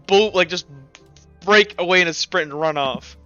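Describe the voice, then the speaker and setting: Game master, male, high forceful voice, halting slangy improvised narration, slightly muffled with music behind. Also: clear, resonant tone, no echo. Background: background music.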